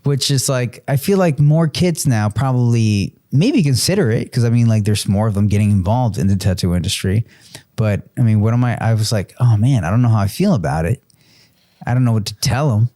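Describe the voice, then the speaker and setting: A man's voice: mid-range voice, lively intonation, a little fast, mellow, no echo, studio quality. A man in his early forties co-hosting a casual podcast chat, rambling with many restarts and fillers. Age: 40s. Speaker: podcast co-host.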